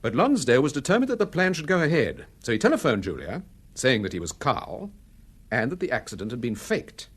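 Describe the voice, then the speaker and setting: An actor playing a calm, authoritative amateur detective in a radio drama, assured and precise as he explains. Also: medium pitch, fairly quick, husky. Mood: measured, explaining.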